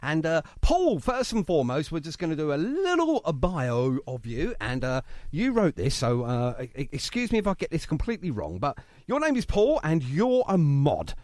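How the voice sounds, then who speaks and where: A man's voice: on the high side, wildly swooping pitch, moderate pace, hardly any pauses, darkish tone, no room sound, studio quality. A British man hosting a live radio show with chirpy, teasing, jokey banter. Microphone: studio radio microphone. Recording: music behind the voice. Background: music bed.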